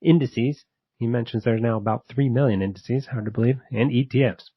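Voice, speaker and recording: A man's voice: medium pitch, fairly quick, breathy edge, no echo, highs cut off. A man hosting a podcast in a casual, conversational style. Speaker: podcast host. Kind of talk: casual podcast interview and intro.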